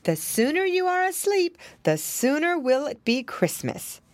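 A woman voicing a motherly pig in a storybook, gentle but firm and coaxing. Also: sits high, big pitch swings, measured pace, dry recording, pitched above the performer's own voice.

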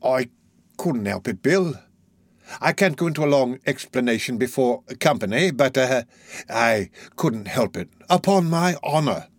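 A man voicing a crafty, wheedling old male fence in a storybook reading, slow and fawning. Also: medium pitch, animated, dry recording. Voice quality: oily, fawning, drawn-out.

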